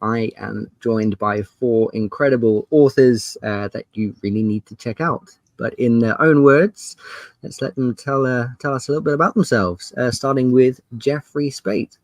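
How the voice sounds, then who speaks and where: A man's voice: mid-range voice, normal speed, dry recording. A man hosting an online panel as game master, introducing it in a casual style.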